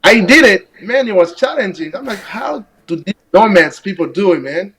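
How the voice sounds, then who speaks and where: Young adult man, podcast guest, from Burundi; casual, reflective, somewhat higher voice. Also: expressive, a bit bright, a little hiss or hum. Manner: reflective, halting, many "you know" fillers. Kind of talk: casual conversation.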